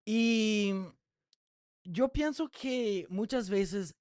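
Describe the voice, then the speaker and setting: A man preaching in his second language at an unhurried, careful pace. His voice sits a little high and comes through smooth and clean, close to the mic in a dry space. He reads scripture in measured phrases and often pauses with fillers. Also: darkish tone, dry recording. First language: English. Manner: slow, deliberate reading of scripture with frequent fillers. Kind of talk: Bible teaching sermon.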